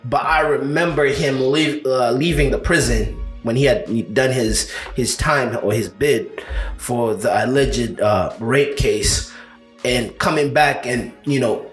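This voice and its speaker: A man's voice: mid-range voice, slow pace, faint music behind. A man, co-hosting a casual combat sports chat and rambling with many "you know"s and restarts. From Uganda. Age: 30s. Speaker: co-host of a combat sports show. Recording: echoey room.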